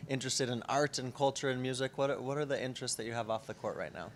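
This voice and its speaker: A man's voice: mid-range voice, fast, a little hiss or hum. A man interviewing a tennis player in an upbeat way, chuckling often between his questions. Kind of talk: sports interview.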